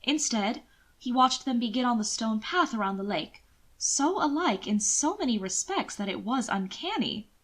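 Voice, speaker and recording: A woman's voice: fairly high pitch, lively intonation, moderate pace, fairly bright tone. A woman narrator giving a scripted audiobook reading of prose fiction.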